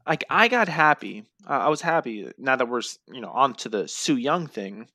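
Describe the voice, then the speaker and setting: Male podcast co-host, blunt ranting casual talker, high voice, dry close sound. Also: moderate pace, dry recording. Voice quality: says he has a high voice. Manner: blunt, profane, animated ranting. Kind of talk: casual chat.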